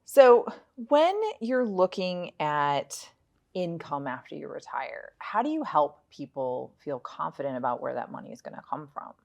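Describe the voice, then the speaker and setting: A woman's voice: low voice, very animated, a little slow, vocal fry, a little mellow. A woman in her late forties casually asking questions in a conversational interview. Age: late 40s.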